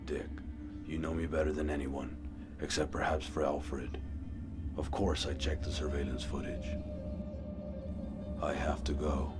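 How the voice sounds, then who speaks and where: A man playing a brooding, guarded vigilante in an audio drama, terse and controlled with a gravelly voice. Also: level tone, a little slow, pauses often, over loud music. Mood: curt, guarded. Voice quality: low, gravelly.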